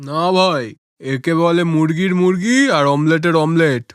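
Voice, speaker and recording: A cheerful village family man played by a male actor in a cartoon. His voice sits on the high side and is recorded dry, with a coaxing, appreciative manner. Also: moderate pace, smooth, no room sound, lightly acted. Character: cheerful food-loving village menfolk, sons and father, eager, coaxing.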